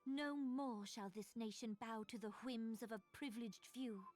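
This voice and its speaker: An actress playing a regal, resolute young queen in a fantasy game, speaking in a solemn, formal, deliberate manner. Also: a little high, a little mellow, soft background music, lightly acted. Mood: grave, resolute. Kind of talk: video game cutscene acting.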